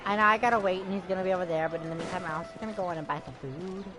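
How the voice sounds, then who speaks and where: A woman's voice: fairly low pitch, racing, over a noisy crowd. A woman streamer giving casual gameplay commentary in a chatty way, talking back to the characters. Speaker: game streamer. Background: game music.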